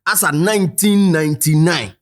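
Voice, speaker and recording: A man with a Ghanaian accent hosting a commentary show, animated and rhetorical, speaking straight to his viewers. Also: fairly high pitch, normal speed. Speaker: show host. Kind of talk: commentary to camera, mixing Twi and English.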